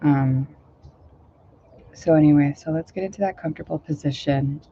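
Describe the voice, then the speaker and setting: A woman meditation teacher, a little hoarse today, talking casually and rambling with many fillers before leading a guided meditation outdoors. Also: deep voice, normal speed, muffled recording, slight background noise. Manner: rambling, filler-heavy, gentle. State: slightly hoarse, low voice.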